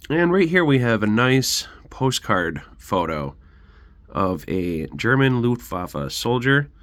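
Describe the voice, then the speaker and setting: A man's voice: medium pitch, big pitch swings, slow, a bit bright. A man with a congested, sick voice giving a casual, rambling show-and-tell as host of a collection channel.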